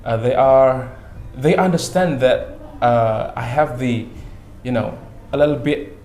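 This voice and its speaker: A man who speaks natively, a guest in a casual interview chat, talking haltingly in English with lots of fillers and repeated words. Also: mid-range voice, mellow. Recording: echoey room.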